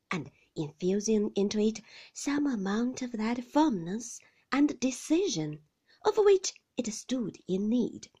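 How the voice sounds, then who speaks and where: A woman's voice: fairly high pitch, darkish tone, slightly rough, full character voice. A woman voicing a stern, domineering husband in an audiobook, commanding and pompous, with cold indignation and heavy emphasis. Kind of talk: audiobook character acting of a classic novel.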